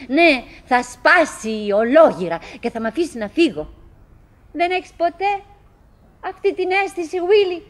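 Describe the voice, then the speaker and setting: An actress playing a chatty middle-aged wife in a theatrical radio drama monologue, halting and musing, by turns coaxing and murmuring, wistful, fretful and forcedly cheerful. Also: female voice, very high voice. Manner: halting, musing, self-interrupting, swinging between coaxing and murmuring.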